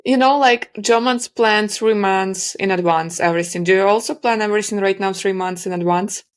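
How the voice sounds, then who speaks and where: Host: woman, mid-pitched, asks questions in slightly imperfect English. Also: fairly quick. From Ukraine. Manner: non-native English with small slips, warm laughs. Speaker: podcast host. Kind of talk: interview questions.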